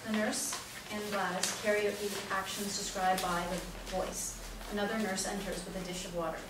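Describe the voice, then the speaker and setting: A woman's voice: medium pitch, level tone, moderate pace. A woman reading stage directions as scripted narration for a play reading. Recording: echoey room, distant mic, noisy.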